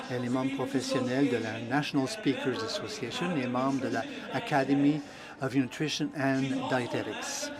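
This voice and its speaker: A man introducing a speaker and then interpreting her talk, relaying it in the first person in a measured, even way. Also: medium pitch. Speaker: interpreter and emcee. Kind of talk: live interpretation of a seminar talk.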